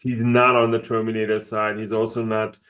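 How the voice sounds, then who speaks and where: A man co-hosting a podcast in casual chat, often pausing to search for words. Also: fairly low pitch, completely flat delivery, a bit bright. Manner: searches for words, many fillers. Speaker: podcast co-host.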